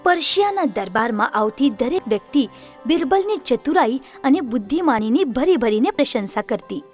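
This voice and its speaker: A woman narrating a children's story in an expressive storytelling manner. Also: high-pitched, normal speed, faint music behind.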